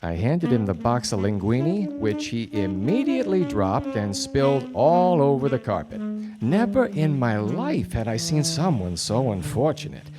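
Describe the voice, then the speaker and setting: Hardboiled 1940s detective, dry wit, lively swings of pitch, live radio play over music. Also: male voice, unhurried. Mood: wry narration. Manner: deadpan, wry. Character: wry 1940s hardboiled male private detective, dryly exasperated. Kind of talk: 1940s radio detective drama, noir narration. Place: theatre stage before a studio audience.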